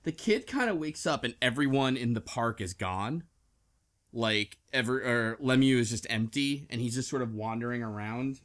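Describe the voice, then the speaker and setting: A man's voice: mid-range voice, expressive, normal speed, a bit bright. A man chatting casually as a podcast co-host, recapping a story and often correcting himself as he goes. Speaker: book club podcast co-host. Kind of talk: casual chat, recapping a visual novel.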